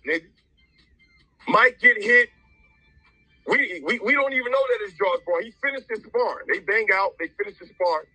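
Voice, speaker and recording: A man's voice: high-pitched, pushed and bright, breathy edge, no echo, low-quality recording. A man telling a story casually in the first person, agitated and blunt, with heavy swearing. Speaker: interviewee, father of a boxer.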